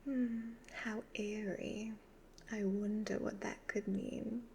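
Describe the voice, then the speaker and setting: A woman playing a sly, commanding evil witch fortune teller in a soft-spoken ASMR roleplay, half-whispering slow, measured instructions. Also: mid-range voice, little pitch movement, gravelly, slight background noise. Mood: sly, teasing.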